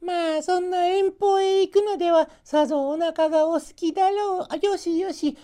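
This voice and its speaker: A man's voice: very high voice, steady tone, normal speed, pitched above the performer's own voice. A man voicing a kindly, fussing old grandmother in a storybook folk tale. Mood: doting. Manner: doting, gently fussing.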